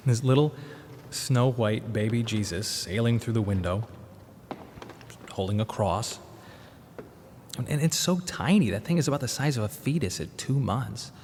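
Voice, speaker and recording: A man's voice: mid-range voice, animated, darkish tone, lightly acted. An actor playing a grieving, restless young father, earnest, awkward and reflective in a radio drama. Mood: wistful, awkward. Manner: halting, reflective. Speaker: narrator and protagonist. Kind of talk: radio drama narration and dialogue. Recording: reverberant hall, faint background noise.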